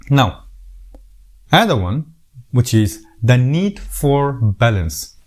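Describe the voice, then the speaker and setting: A man's voice: mid-range voice, sing-song, drawn-out words. Earnest middle-aged man with a Bangladeshi accent. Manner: earnest, repeats words and restarts sentences. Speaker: YouTube host. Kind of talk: casual advice talk to camera.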